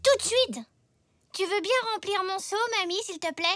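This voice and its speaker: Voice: very high voice, moderate pace, fairly bright tone, no room sound. A performer voicing an eager, earnest little zebra child in a French cartoon, with an enthusiastic, childlike delivery. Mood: excited.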